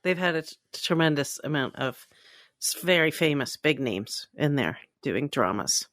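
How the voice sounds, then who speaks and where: A woman's voice: sits low, big pitch swings, normal speed, fairly bright tone, no room sound, studio quality. A woman from Toronto, Canada, co-hosting a podcast and chatting casually about entertainment news. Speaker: podcast co-host.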